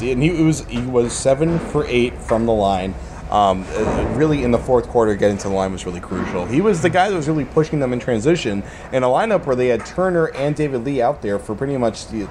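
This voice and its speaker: A man's voice: mid-range voice, animated, a little fast. A man hosting a casual basketball discussion in an enthusiastic, playful, conversational way. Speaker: sports radio and podcast host. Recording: clearly noisy urban background ambience.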